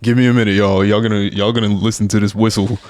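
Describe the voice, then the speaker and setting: A man's voice: fairly low pitch, little pitch movement, quick pace, a little mellow. A man co-hosting a casual podcast, teasing and joking with his co-host. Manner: teasing, jokey. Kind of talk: casual chat.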